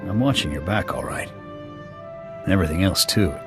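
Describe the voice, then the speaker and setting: Deep-voiced, gruff military officer. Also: male voice, lively intonation, normal speed, music in the background. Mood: guarded. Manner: clipped military speech, blunt. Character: gruff, loyal male army sniper officer, blunt and protective. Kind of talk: video game voice acting.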